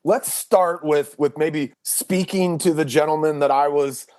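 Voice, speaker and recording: A man's voice: a little high, steady tone, unhurried, darkish tone. Male podcast host, casual and rambling. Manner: loose, rambling with many restarts.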